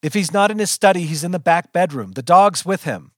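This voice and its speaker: A man playing a frantic, hot-tempered story character who yells with desperate impatience. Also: sits high, expressive, fairly quick, no echo, studio quality, pitched above the performer's own voice. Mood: frantic, angry.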